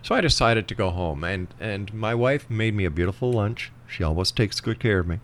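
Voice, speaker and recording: A man's voice: fairly low pitch, brisk, a little hiss or hum. A man hosting an interview show, talking conversationally and making his points with emphasis.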